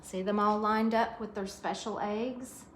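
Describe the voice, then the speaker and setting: A woman's voice: medium pitch, fairly even intonation, measured pace, slight background noise. A woman reading a picture book aloud to young children, expressively, with chatty asides and questions to her listeners.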